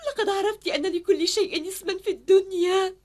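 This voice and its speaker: A devoted young female teacher in a cartoon drama. Her voice sits extremely high. She speaks with earnest, tender expressiveness. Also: brisk, a little mellow. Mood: overjoyed, tearful. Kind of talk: dubbed cartoon drama acting.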